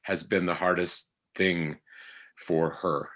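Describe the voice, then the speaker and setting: A middle-aged man talking reflectively in conversation, halting often as he searches for his words. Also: sits low, little pitch movement, fairly bright tone. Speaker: podcast actor and guest.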